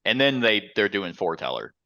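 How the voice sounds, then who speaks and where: A man's voice: fairly low pitch, very animated, a little fast, pushed and bright, no echo. A man chatting casually and animatedly as a board game show host, rambling like a storyteller. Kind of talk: casual chat.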